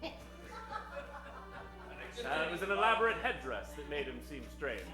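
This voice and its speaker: High-voiced male old-time radio narrator. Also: sing-song, moderate pace. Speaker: host and narrator. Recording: echoey live stage, keyboard music behind. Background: keyboard music.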